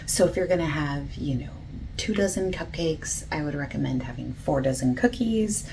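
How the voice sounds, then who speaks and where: Woman playing a friendly bakery saleswoman, soft-spoken ASMR, low creaky voice, breathy near-whisper, patient, noisy lo-fi recording with typing. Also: expressive, moderate pace. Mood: soft, accommodating. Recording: lo-fi hissy close recording, keyboard typing.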